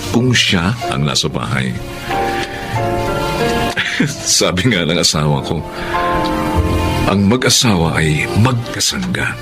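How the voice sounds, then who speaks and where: A deep-voiced apologetic husband. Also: male voice, big pitch swings, takes it slowly, long pauses, heavily acted. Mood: earnest. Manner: hesitant, apologetic, then earnest. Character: remorseful jobless husband, now a house husband. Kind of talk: radio drama acting. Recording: loud music underneath.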